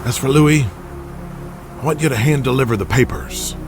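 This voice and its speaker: A man's voice: mid-range voice, normal speed, music in the background, natural voice. A man playing a ruthless, gloating wrestling empire boss in an audio drama, pompous and menacing as he makes his speeches. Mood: grudging.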